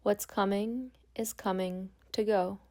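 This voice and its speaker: Calm lady doing guided yoga nidra, really slow, lots of creak in the voice, gentle w long pauses. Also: mid-range voice. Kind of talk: guided meditation.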